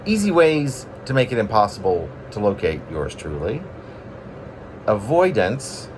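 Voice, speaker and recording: Male poet, informal reader, lowish voice with big melodic swings, rambling and self-mocking, clearly noisy recording. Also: sing-song, a little slow. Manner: self-deprecating, rambling, playfully dramatic. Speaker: poet reading own work. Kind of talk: informal poetry reading with chatty asides.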